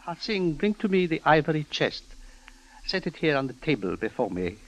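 An actor playing a calm, enigmatic elderly Chinese doctor in old-time radio drama, speaking in measured, formal, inverted sentences. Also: male voice, on the high side, rough recording. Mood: serene, formal.

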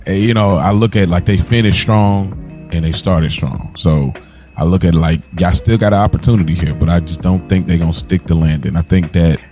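A man's voice: low-pitched, fairly even intonation, fairly quick, warm tone, faint music behind. An American man co-hosting a podcast, chatting casually about TV in slangy, opinionated, conversational language. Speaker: podcast host. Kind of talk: casual TV review chat.

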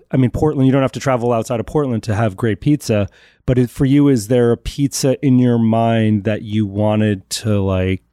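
A man hosts a relaxed sit-down chat, circling around his questions in a friendly, easygoing way. His voice has a mellow, darker tone and a mid-range pitch, recorded dry and close. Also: no echo. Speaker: show host. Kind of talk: casual interview chat.

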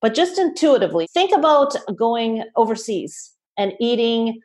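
A woman from Toronto, a health practitioner, gives measured explanations and is careful to call her ideas observations. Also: fairly high pitch, sing-song, darkish tone. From Toronto, Canada. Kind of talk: explanatory interview answers.

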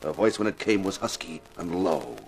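An actor playing a wry, world-weary television star of a detective show, in 1950s radio drama style, dramatic and wisecracking. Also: male voice, sits low, moderate pace, natural voice, low-quality recording. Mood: hard-boiled, triumphant. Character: wry, world-weary male TV actor who plays a hard-boiled inspector. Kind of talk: 1950s radio detective drama acting with first-person narration.

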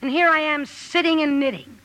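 A headstrong teenage tomboy from vintage radio drama. The voice is high and forceful, with blunt, theatrical delivery and big declarations. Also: female voice, normal speed, poor audio. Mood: dramatic, determined. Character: fiery tomboyish teenage sister, ambitious would-be writer. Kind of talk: 1950s radio drama acting. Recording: vintage 1950 radio broadcast.

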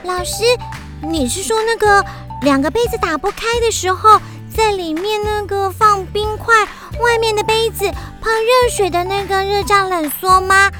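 Very high-pitched, earnest schoolchild. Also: female voice, normal speed, over music. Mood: eager, curious. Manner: stammering, pleading, breathless urgency. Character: frightened, earnest primary school child. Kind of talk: children's radio drama acting.

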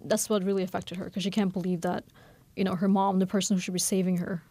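Fast-talking woman novelist with gravelly voice. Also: mid-range voice. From Bangladesh. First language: Norwegian. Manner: fast, candid, animated with many fillers. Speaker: novelist guest. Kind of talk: conversational radio interview.

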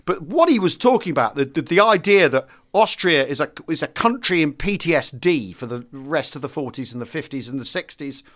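Chatty man, slightly high voice. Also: brisk, few pauses. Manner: animated, repeats and restarts phrases. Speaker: podcast co-host. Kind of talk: casual conversational history chat.

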